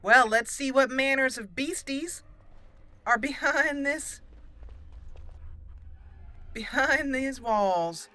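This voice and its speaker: A woman's voice: high voice, slow pace, pauses often, pushed and bright. A woman giving chatty, playful gameplay commentary as a let's play host, casual with some roleplay.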